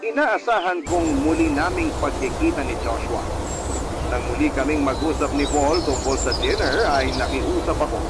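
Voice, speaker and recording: A man's voice: on the high side, poor audio. A man giving scripted first-person narration of a personal story. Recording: voice under loud music. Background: loud background music.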